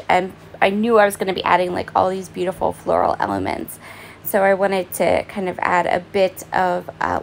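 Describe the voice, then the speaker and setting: A woman talks through her craft project in an easy, chatty voice-over, pausing often with "um" and sometimes apologizing. Her voice is raspy and hoarse, with a gravelly fry, and it sits at a medium pitch for a woman. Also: slight background noise. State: hoarse, voice partly fading. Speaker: craft vlogger. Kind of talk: casual voice-over narrating a craft process. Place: at home, in bed.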